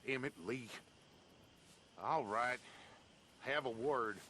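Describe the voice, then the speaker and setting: Hard-edged, curt Southern overseer. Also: male voice, medium pitch, slow pace, pushed and bright, slight background noise. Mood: curt, suspicious. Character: curt, unfriendly plantation overseer. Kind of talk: dramatic video game dialogue.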